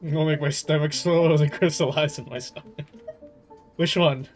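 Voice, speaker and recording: A young man chats on a game livestream in a somewhat high voice. He is casual, slangy and playful as he reacts, with faint game music behind him. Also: fairly even intonation, moderate pace. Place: in a room at home.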